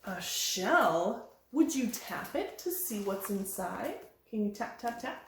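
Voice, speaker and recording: A woman's voice: medium pitch, big pitch swings, slow pace, creaky, a little hiss or hum. A woman leading an interactive children's storytime, speaking in a sing-song, encouraging way and putting questions to young listeners. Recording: very echoey, roomy sound.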